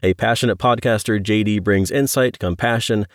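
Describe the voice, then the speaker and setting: Male podcast host, middle-aged. Low-pitched, dry close-mic sound, conversational interviewer. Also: normal speed, dry recording. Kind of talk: casual interview chat.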